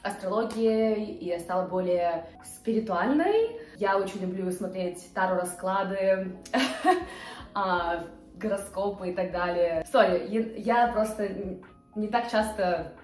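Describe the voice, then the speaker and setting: A woman's voice: mid-range voice, measured pace, darkish tone. A woman of twenty-eight talking casually to the camera from her living room couch, hesitant and full of fillers. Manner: chatty, hesitant with many fillers, gestures with hands. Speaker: vlogger. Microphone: camera a few feet away. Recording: roomy sound with faint background music.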